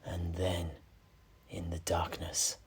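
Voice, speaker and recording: A man narrating a dark fantasy tale as a storyteller, with hushed, suspenseful and deliberate phrasing. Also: deep voice, monotone. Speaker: host, in-character storyteller. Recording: clear background noise.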